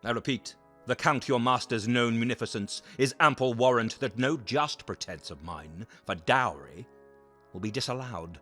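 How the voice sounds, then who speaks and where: An actor playing an imperious, menacing duke in a period audio drama, speaking with firm, formal courtesy. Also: male voice, medium pitch, moderate pace, bright, forceful tone, faint music behind.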